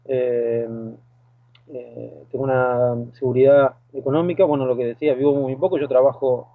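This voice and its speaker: A man's voice: mid-range voice, steady tone, moderate pace, no room sound. Argentine man on a tinny phone line. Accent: Argentine Spanish. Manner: hesitant, many fillers and restarts. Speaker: guest, lifeguard and boat skipper. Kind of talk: phone interview.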